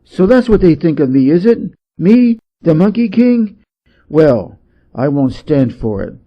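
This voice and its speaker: A man voicing a cheeky, boastful and excitable monkey king in a storybook reading, on a slightly muffled recording. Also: fairly high pitch, a little slow. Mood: indignant. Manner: brash and excitable. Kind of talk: storybook character voice.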